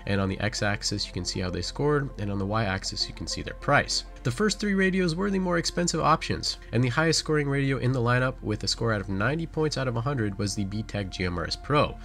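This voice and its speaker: A man's voice: mid-range voice, expressive, quick pace, hardly any pauses, a bit bright. A man reading scripted product review narration in an explanatory, methodical way. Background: background music.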